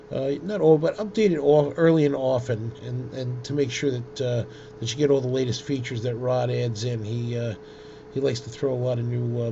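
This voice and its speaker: Male tutorial presenter, informal and hesitant with a creaky voice; dull recording with heavy noise. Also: mid-range voice. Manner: hesitant, halting delivery with frequent ums. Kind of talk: informal screen-share software demonstration. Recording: dull lo-fi audio with loud noise.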